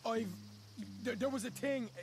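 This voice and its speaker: An actor playing a stammering, ingratiating young Irish outlaw bluffing as a harmless delivery driver in a video game. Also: male voice, extremely high pitch, unhurried. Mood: nervous, ingratiating. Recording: very noisy outdoor game ambience.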